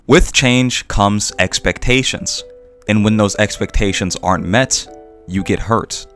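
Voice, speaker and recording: A man narrating a scripted video essay in a reflective, measured way with deliberate pauses. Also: lowish voice, fairly bright tone. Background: background music.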